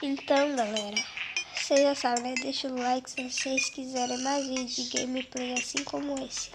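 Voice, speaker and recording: A woman's voice: sits high, level tone, normal speed, very noisy. A young girl with a Brazilian Portuguese accent and a cold, giving casual commentary over her own gameplay. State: stuffy with a cold. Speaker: gaming video host. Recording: loud music over the voice. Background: loud music.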